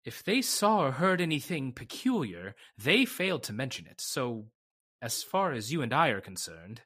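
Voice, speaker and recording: Guy doing a serious formal lawyer in a radio play, husky voice that moves up and down a lot. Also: mid-range voice, sing-song, normal speed, bright, forceful tone, exaggerated voice. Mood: secretive. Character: grave, confiding male lawyer settling an estate. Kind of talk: old-time radio drama acting.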